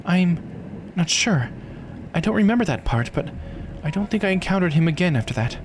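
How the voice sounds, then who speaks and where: A man's voice: sits high, lively intonation, fairly quick, a little hiss or hum, pitched above the performer's own voice. A man voicing a rueful, hesitant immortal woman while reading a visual novel aloud. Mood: unsure.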